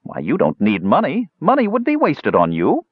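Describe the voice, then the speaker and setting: A man voicing a playful, fast-talking dwarf juggler with theatrical, wheedling patter for an audiobook. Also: fairly high pitch, wildly swooping pitch, no room sound, lo-fi sound, pitched above the performer's own voice. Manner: rambling, theatrical salesman patter. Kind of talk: audiobook character voice.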